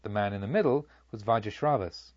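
A man reading a Buddhist tale as an audiobook, with a solemn, measured, theatrical storytelling delivery. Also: fairly low pitch, dry recording, dull audio. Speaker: audiobook narrator. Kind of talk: scripted literary narration of a Buddhist tale.

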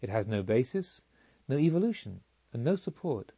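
Man reading a serene wise woman disciple, mellow. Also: mid-range voice, moderate pace, slightly rough, highs cut off. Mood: solemn, reverent. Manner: calm, solemn, didactic.

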